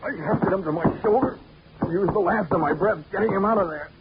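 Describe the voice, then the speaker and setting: A man's voice: sits high, brisk. An actor playing a wry, hard-boiled insurance investigator, narrating his case with dry wisecracks in 1940s radio style. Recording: dull 1940s radio recording, loud music.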